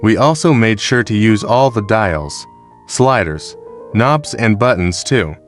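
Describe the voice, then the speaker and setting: A man reads a scripted product review narration in a measured, explanatory way. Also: fairly low pitch, expressive. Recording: music behind the voice. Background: background music.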